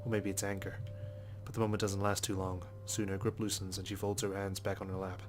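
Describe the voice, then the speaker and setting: Fast-talking man with a low, even voice. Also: slight background noise. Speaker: let's player. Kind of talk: reading a visual novel aloud with casual commentary.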